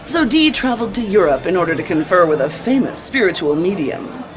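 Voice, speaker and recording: A woman's voice: on the low side, sing-song, fairly quick, crowd noise. An actress playing a flirtatious, dramatic woman who runs an occult bookstore in an audio drama. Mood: mysterious, storytelling.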